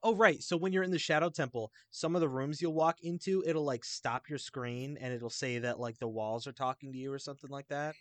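Male podcast co-host, medium pitch, informal game chat, dry recording. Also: brisk, few pauses, no echo. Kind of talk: casual chat about a video game.

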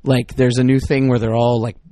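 A man's voice: mid-range voice, little pitch movement, a little fast, mellow, no room sound, clean studio sound. A middle-aged man chatting casually as a podcast co-host, rambling and exasperated, often cutting himself off mid-word. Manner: rambling, exasperated, self-interrupting. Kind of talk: casual chat.

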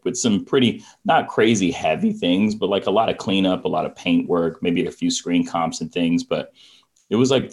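A man in his twenties, a guest on a podcast, talking in a relaxed, reflective way with many "like"s. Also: low-pitched, breathy edge. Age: 20s. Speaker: guest, visual effects artist. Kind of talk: casual interview chat.

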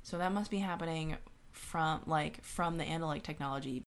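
Low-voiced woman, casual podcast co-host. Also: normal speed. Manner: inquisitive, reasoning aloud, checks for agreement. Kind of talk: casual science-minded discussion.

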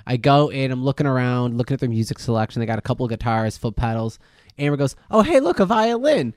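A man's voice: mid-range voice, sing-song, quick pace, no room sound, clean studio sound. A man co-hosting a podcast in casual chat, telling stories in a rambling, repetitive way and swearing freely. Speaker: podcast co-host.

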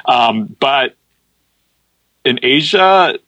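A man's voice: mid-range voice, animated, extremely slow, bright, forceful tone, dry recording, muffled recording. A man who grew up speaking Cantonese, a podcast guest chatting casually, laughing often and repeating words as he starts sentences. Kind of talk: casual interview chat.

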